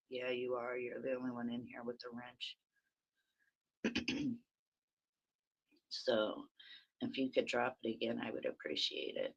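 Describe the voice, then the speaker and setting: A woman with a very raspy voice, hosting a rambling, chatty early-morning livestream from her phone and talking to viewers by name. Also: rumbling low, highs cut off. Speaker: livestream host.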